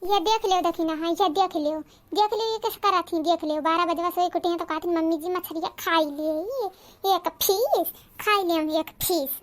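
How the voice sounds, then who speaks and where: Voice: very high-pitched, fairly even intonation, moderate pace. A performer voicing a put-upon elder village mother-in-law in a cartoon, with a Bhojpuri accent and a complaining, sarcastic, repetitive way of talking. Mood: sarcastic scolding. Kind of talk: cartoon character dialogue.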